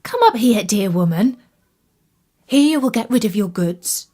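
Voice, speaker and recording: A woman's voice: medium pitch, animated, a little slow, mellow. A woman voicing a cheerful, boastful little male tailor from a storybook, with theatrical, self-important delivery. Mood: eager, appraising.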